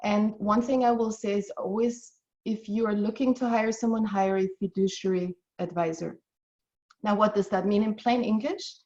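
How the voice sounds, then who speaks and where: A woman's voice: medium pitch, fairly even intonation, moderate pace, a little mellow. Lady in her 40s explaining money stuff, earnest and encouraging, kinda muffled lo-fi call sound. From Austria. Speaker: financial advisor guest. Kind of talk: webinar presentation.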